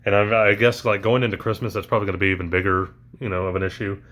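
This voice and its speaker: A man's voice: fairly low pitch, flat delivery, very fast, fairly bright tone. A man talking casually through a toy unboxing, rambling with lots of ums and uhs, his voice recently back after allergies.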